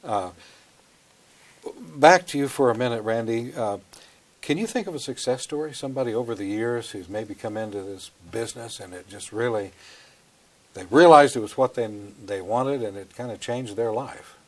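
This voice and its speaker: A man's voice: mid-range voice, normal speed. An older man hosting a studio interview on a lapel mic, friendly and leading his guests with questions. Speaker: host. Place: small TV studio.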